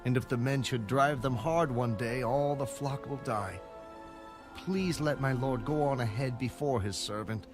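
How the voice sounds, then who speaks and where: Male actor as humble pleading brother, mid-pitched, deferential, measured, scripture audio drama, clear music underneath. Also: lightly acted. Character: humble, pleading man appeasing his brother.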